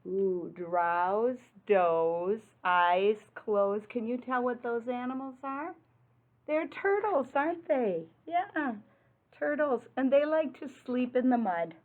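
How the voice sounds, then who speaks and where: A woman's voice: a little high, animated. A woman reading a picture book aloud to young children, explaining in simple words, asking questions and stressing rhyming words. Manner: simple child-directed talk with frequent questions; deliberate, emphatic delivery of rhyming words. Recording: muffled, phone-like narrow-band sound.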